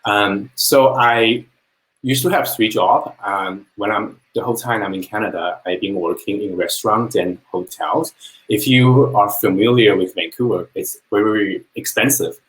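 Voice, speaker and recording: A man's voice: fairly low pitch, moderate pace, low-quality recording. A man from China, speaking English as a second language, telling his personal business story on a live webinar in an earnest, plain-spoken way. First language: Chinese.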